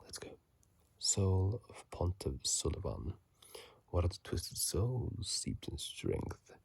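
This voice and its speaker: Very low, breathy whispering man. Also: wildly swooping pitch, no echo. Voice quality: breathy, gravelly soft whisper. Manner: slow, halting, hushed delivery. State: sleepy. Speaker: video host. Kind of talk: ASMR whispering; casual game commentary. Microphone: close phone microphone.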